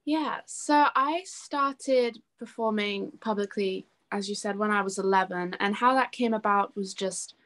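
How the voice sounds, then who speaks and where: A woman's voice: medium pitch, measured pace, few pauses, a bit bright. A young woman talking informally as an interview guest, chatty and peppering her sentences with casual filler words. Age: young adult. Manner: chatty, informal, often says "like" and "you know". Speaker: interview guest, singer-songwriter.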